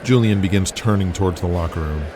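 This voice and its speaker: A man's voice: deep voice, quick pace, background noise. A man narrating a scripted audio drama in the present tense. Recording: music under the voice.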